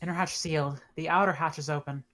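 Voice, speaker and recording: A woman's voice: sits low, fairly even intonation, fast, fairly bright tone. A woman playing a tough, guarded, suspicious spaceship officer in a table read. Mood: tense.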